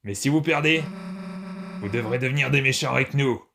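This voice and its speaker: A man's voice: medium pitch, brisk, creaky, fairly bright tone, a little hiss or hum. A man playing a brash, taunting monster bully girl. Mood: cocky, threatening. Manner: blunt, taunting, slangy. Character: brash tough female monster bully, gruff, proud villain. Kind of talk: amateur comic dub acting.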